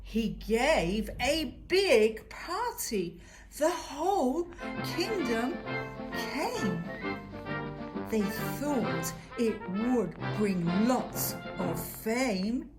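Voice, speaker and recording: A woman narrating a rhyming children's story with a theatrical, drawn-out delivery. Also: a little high, very animated. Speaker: puppet show performer. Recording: music behind the voice.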